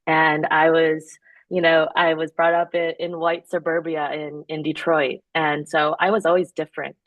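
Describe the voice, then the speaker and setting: A woman, a founder answering interview questions in an earnest, measured way with some fillers. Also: low-pitched, flat delivery, no room sound, phone-quality sound. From born in Korea, raised in the United States. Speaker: founder, podcast guest.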